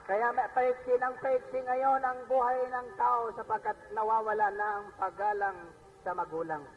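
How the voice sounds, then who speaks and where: A man's voice: high voice, little pitch movement, moderate pace, a little hiss or hum. A man preaching to a gathering in an exhortative way, like a sermon leader. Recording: dull radio playback, music behind.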